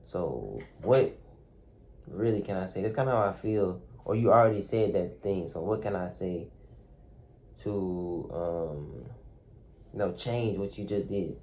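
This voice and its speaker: A young man speaks quietly in a low, mellow voice. He talks in a soft, hesitant way, full of fillers and restarts, reflecting aloud to a camera in a dark room. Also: lively intonation, unhurried, low-quality recording. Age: young adult. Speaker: vlogger.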